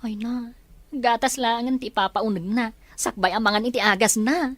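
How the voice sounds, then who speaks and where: A woman's voice: on the high side, steady tone, normal speed, a bit bright, dry recording. Earnest woman played by an actress, dry close sound. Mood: explaining. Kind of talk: radio-style drama acting.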